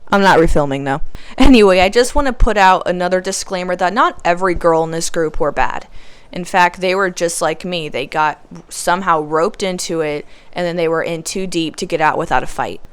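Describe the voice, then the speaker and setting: A nineteen-year-old woman talks candidly to the camera in a casual vlog, laughing now and then. Her voice is smooth and clear, sitting a little low for a woman. The mic is close and dry, with almost no room sound. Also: brisk, dry recording. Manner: candid, confessional, laughs often. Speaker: YouTuber, film student. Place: small room, poorly lit.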